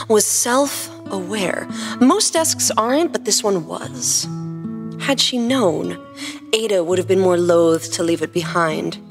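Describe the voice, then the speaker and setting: Female podcast host, medium pitch, scripted storytelling narration, guitar music behind. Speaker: podcast co-host, fan fiction reader.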